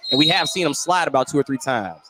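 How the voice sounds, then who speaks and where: Male radio basketball announcer, high-pitched, descriptive play-by-play, clear gym noise in the background. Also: animated, fast, low-quality recording. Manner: detailed, descriptive calling, shouting on big plays. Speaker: play-by-play radio sports commentator. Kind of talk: live basketball play-by-play commentary. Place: indoor basketball gym, courtside broadcast. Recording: loud gym noise behind commentary. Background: loud ambient game noise.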